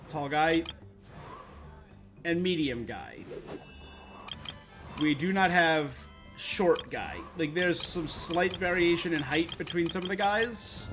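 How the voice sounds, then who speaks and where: A man streaming a game, chatting casually and thinking aloud in a rambling, opinionated way. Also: on the high side. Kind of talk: casual livestream gaming chat. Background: game music.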